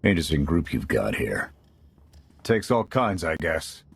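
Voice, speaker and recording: A man playing a blunt, gruff military squad commander in a video game. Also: very deep voice, sing-song, measured pace, no echo, heavily acted. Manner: gruff, blunt soldier talk.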